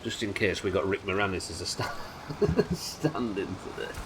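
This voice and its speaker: A man with a nasal voice, a little hoarse from illness, chatting wryly and swearing casually while streaming a game live. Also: lowish voice, animated, moderate pace, background noise. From United Kingdom. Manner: chatty, wry, swears casually, muttering asides. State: sick, slightly hoarse.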